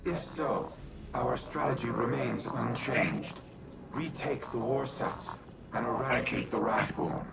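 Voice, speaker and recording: A man voicing a grave, calculating war machine AI in a video game, speaking formally and deliberately with a gravelly voice. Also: mid-range voice, very noisy. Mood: grave, resolute. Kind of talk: dramatic video game voice acting. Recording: loud music behind.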